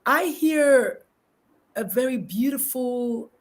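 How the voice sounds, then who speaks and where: A woman with a Nigerian accent hosting a live interview, talking in an excitable, rambling, effusive way. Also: a little high, slow. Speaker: host.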